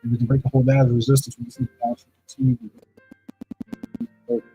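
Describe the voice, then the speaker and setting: A man with a deep voice hosting a live trading stream, talking casually through charts and often checking in with "right?". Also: very animated, fast, vocal fry, dark tone, faint music behind, low-quality recording. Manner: loose, repeats "right?" to check in with viewers. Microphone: streaming setup microphone.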